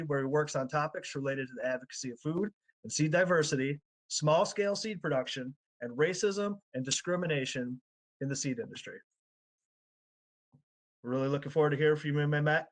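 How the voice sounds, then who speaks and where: A man hosting an online panel, upbeat and quick when he gets going. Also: fairly high pitch, poor audio. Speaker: panel host.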